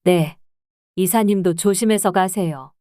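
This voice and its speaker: A woman plays a hesitant housekeeper in her sixties in a storytelling audio drama. Her voice is smooth and mellow, soft and deferential, with no room sound. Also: on the low side, measured pace, dry recording, studio quality, natural voice.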